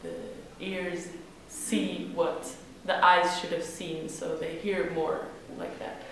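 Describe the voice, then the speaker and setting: A woman's voice: fairly low pitch, level tone, takes it slowly. A young woman volunteering, speaking thoughtfully and searching for words. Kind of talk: casual interview testimonial. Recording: echoey room, voice far from mic, noise.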